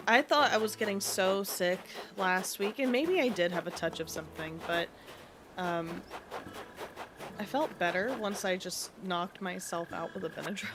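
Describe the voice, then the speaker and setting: A sniffly woman streamer chatting casually and thinking aloud while she plays a game. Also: mid-range voice. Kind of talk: casual gaming chat.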